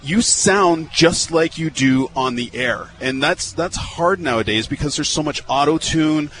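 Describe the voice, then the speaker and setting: A man's voice: fairly high pitch, a little slow, fairly bright tone, a little hiss or hum, rough recording. Chatty man hosting radio live outdoors. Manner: upbeat, chatty, repeats words. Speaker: radio host. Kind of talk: casual live interview. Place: outdoor fairground.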